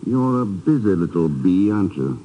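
An actor playing a cool, resolute secret agent with a dry, understated manner in a 1950s radio drama. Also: male voice, low-pitched, very animated, moderate pace. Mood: wry, cool. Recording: dull, noisy old radio broadcast.

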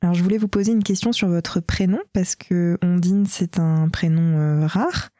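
A woman's voice: on the low side, steady tone. Woman interviewer, native Portuguese speaker. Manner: thoughtful questions with soft pauses. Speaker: radio interviewer.

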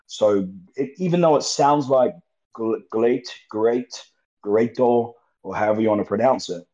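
A young Australian man, a guest wrestler, talking in a relaxed, rambling way and laughing easily, heard over a remote call. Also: mid-range voice, unhurried. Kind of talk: casual interview chat. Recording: muffled lo-fi call audio.

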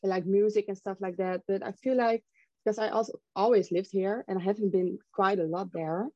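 A woman's voice: medium pitch, fairly even intonation, fairly quick, a little mellow, dry recording, dull audio. A young woman, chatting casually as an interview guest. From Netherlands. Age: young adult. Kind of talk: casual chat.

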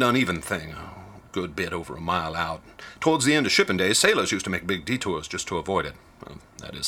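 An actor playing an older small-town ticket agent in a radio drama, a wary gossip with rambling, rustic speech. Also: male voice, sits low, sharp tone, breathy edge, exaggerated voice.

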